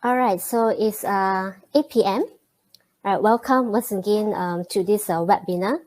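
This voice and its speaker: Woman, webinar host, relaxed and friendly, filler-heavy delivery. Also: medium pitch. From Malaysia. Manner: friendly, many fillers and pauses. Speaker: webinar host and programming teacher. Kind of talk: live online webinar greeting and intro.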